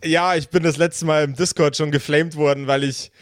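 A man's voice: on the high side, fairly even intonation, moderate pace, crowd noise. A man working as game master, improvising narration and chatting casually with his player.

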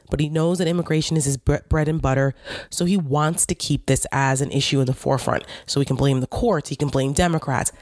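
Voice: medium pitch, no room sound, clean studio sound. A commentator with a New Jersey accent, hosting a casual political monologue in a blunt, indignant way and swearing freely. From New York / New Jersey area.

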